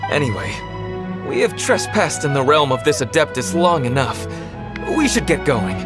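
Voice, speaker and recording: A man's voice: a little high, very animated, moderate pace. A man voicing a humble, grateful poor young man in a video game, earnest and deferential. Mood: humble, resolved. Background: game music.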